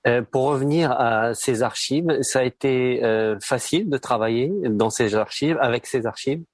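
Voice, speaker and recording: A man's voice: mid-range voice, moderate pace, no room sound. A man interviewing a guest on radio, asking brief questions with some fillers.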